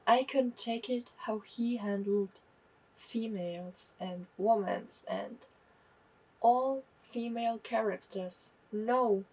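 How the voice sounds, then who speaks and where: A young woman reviews books in a slow, unhurried way. Her voice is a little husky. She talks candidly and often stops to correct herself. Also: a little high, darkish tone, far from the mic, low-quality recording. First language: German. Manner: chatty, candid, self-correcting. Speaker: book vlogger. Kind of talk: casual book review.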